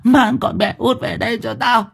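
A man's voice: sits high, wildly swooping pitch, normal speed, fairly bright tone, breathy edge, voice pushed up, full character voice. A man voicing a ravenous, possessed old grandmother who screeches curses and snarling threats at her family. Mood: furious.

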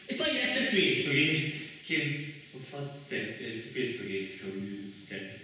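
A man performing a theatrical comic monologue as a solo stage actor, halting and interrupting himself, then swelling into declamation. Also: medium pitch, huge pitch swings, bright, forceful tone. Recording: echoey hall, far from the mic.

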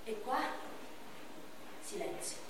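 An actress playing a beaten, bitter young man of thirty-one, shouting crude outbursts in a stage monologue. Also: slow pace, lightly acted. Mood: grim. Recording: echoey stage from far off, noisy.

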